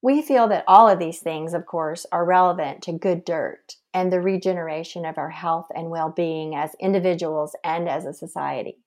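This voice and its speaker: A woman host reading a scripted introduction with an earnest, measured delivery. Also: on the low side, darkish tone. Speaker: podcast host.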